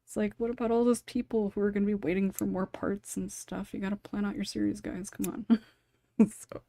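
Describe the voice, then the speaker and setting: A woman's voice: medium pitch, little pitch movement, brisk, gravelly, warm tone, no room sound. Chatty woman hosting, close dry sound. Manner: chatty, giggly, informal. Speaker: podcast host and writer. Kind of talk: casual panel discussion hosting.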